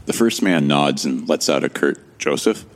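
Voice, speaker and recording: A man's voice: very deep voice, animated, moderate pace, a little hiss or hum. An actor playing a bewildered amnesiac man who wryly narrates his ordeal in the first person for a sci-fi audio drama. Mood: wry, musing. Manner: wry, musing.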